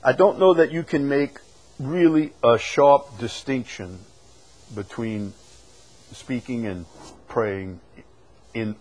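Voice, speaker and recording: A male preacher teaches a Bible class in a conversational but emphatic way. His voice sits at a middle pitch and moves from loud declaration down to quiet, measured asides. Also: low-quality recording. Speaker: preacher leading a Bible study. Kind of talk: teaching with questions from the congregation.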